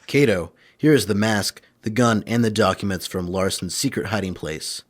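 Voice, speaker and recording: Man acting a bold masked crime fighter, lowish pitch, husky, confident, radio drama. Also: moderate pace, close to an everyday voice. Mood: brisk. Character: cool, bold masked vigilante hero.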